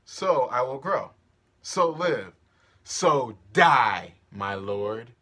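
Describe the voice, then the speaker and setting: Guy doing a lovestruck defiant young shakespeare maiden, earnest, slow, swoopy delivery. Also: medium pitch, expressive, sharp tone, heavily acted. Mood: pleading. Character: young woman in love, pleading yet defiant. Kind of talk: theatrical Shakespearean stage reading.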